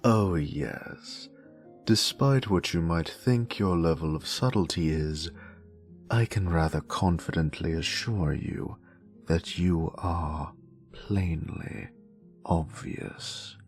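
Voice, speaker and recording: A man's voice: deep voice, slow, soft background music. A man voicing a grumpy, sassy British wizard, a haughty former professor with theatrical, dryly sarcastic delivery in an audio roleplay. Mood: haughty, suspicious. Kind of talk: scripted character monologue, audio roleplay.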